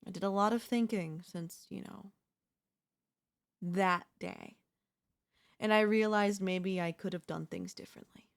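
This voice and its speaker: A woman's voice: medium pitch, a little slow, vocal fry, pauses often. A young woman playing an obsessive, lovesick yandere neighbor in an intimate, intense audio roleplay. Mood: calm, quietly menacing. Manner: intimate, pleading, emotionally intense delivery. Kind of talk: ASMR audio roleplay acting.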